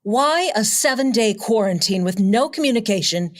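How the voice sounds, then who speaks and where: A woman's voice: medium pitch, animated, normal speed, close to an everyday voice. A woman playing a spirited, feisty female companion of a reporter in a radio play, direct in manner. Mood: questioning. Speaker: actor in an audio drama. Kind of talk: community theatre radio play acting.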